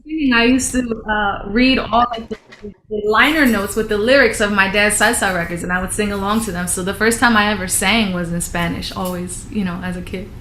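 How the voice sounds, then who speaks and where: A woman's voice: medium pitch, brisk. Reflective woman in a roomy space. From Philadelphia, USA. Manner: warm, reflective, many fillers and restarts. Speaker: musician guest. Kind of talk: casual interview talk. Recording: roomy room with faint noise.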